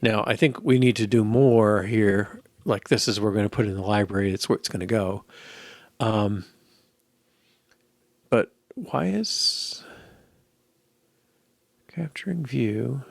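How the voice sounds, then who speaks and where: A middle-aged man thinking aloud as he codes, musing and halting as if muttering to himself, in casual commentary. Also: lowish voice, lively intonation, creaky, no room sound. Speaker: programmer live-coding. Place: indoor room at a desk.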